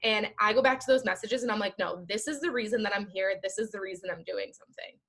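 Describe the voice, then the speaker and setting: A woman's voice: medium pitch, fast, vocal fry, fairly bright tone. A woman guest on a podcast talking about her work as an entrepreneur, in an earnest, chatty way with many "like" fillers. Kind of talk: casual interview chat. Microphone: video call.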